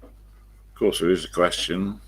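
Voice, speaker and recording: An elderly English man with a croaky smoker's voice, rambling casually to viewers on a live stream and answering his own questions. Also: sits low, steady tone, a little slow, a bit bright. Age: 70s or older. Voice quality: croaky, husky smoker's voice with a cough.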